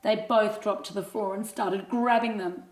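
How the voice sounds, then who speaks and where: A woman narrating a scripted children's story in an expressive, measured reading style. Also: medium pitch. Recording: roomy, echoing sound.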